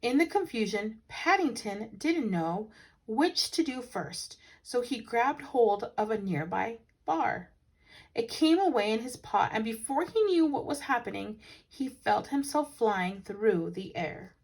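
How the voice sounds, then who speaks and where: A woman's voice: a little high, measured pace, slightly rough, poor audio. Woman reading a storybook aloud expressively. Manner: animated, with dramatic emphasis for children. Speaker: teacher reading a children's book aloud.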